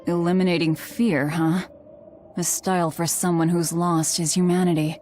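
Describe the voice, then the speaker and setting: Casting: actress as hardened game antiheroine, low-pitched, curt and blunt, faint music behind. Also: female voice, level tone, normal speed, a little mellow, natural voice. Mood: dry, musing. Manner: blunt, dry. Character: curt, cold, hardened young woman fighter. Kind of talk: English video game dub acting.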